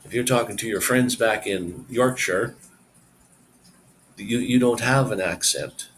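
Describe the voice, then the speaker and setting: A man's voice: on the low side, measured pace, a bit bright, slight background noise, rough recording. A middle-aged man hosting a casual live stream, rambling as he reads viewer comments aloud and answers them.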